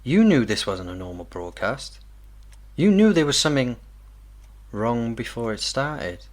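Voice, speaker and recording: A man's voice: medium pitch, very animated, unhurried. An actor playing an earnest, suspicious man from 1939 in an audio drama, direct and pressing in his speech. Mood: accusing.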